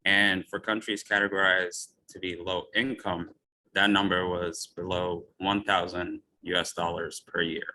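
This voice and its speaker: A physician from Ethiopia gives a lecture in a deep, bright and forceful voice. He talks in a measured way and leans on personal storytelling. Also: level tone, poor audio. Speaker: physician speaker. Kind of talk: lecture talk.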